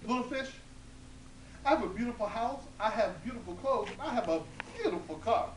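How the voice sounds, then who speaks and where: Woman putting on a shrill, demanding old woman. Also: animated, normal speed, lightly acted. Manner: shrill, pleading, insistent. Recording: echoey room, distant mic, hum.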